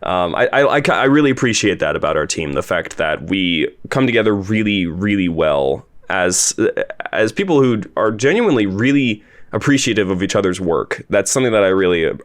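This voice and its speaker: A man with a lowish voice hosts a casual commentary podcast. He talks in an informal, rambling way and sounds appreciative of the people he works with. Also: expressive, fairly quick, hardly any pauses. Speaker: host and series creator interviewing a voice actor.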